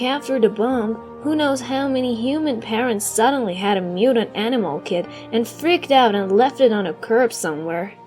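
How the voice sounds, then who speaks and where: A woman's voice: a little high, dark tone, natural voice. A woman voicing a caring female snow fox who runs a shelter, casual and concerned, in a comic dub.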